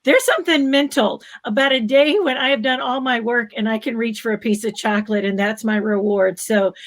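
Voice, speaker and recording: A woman's voice: a little high, a little fast. A woman moderating a panel discussion, upbeat and encouraging as she draws the guests out.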